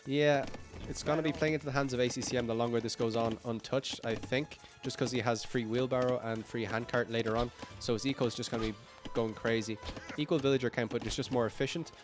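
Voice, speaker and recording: A man with a croaky, tired voice giving live play-by-play commentary on a strategy game, upbeat and rambling with frequent fillers and self-corrections. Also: medium pitch, steady tone, fast talker, few pauses, over music. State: croaky, tired voice late in a long stream. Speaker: esports caster.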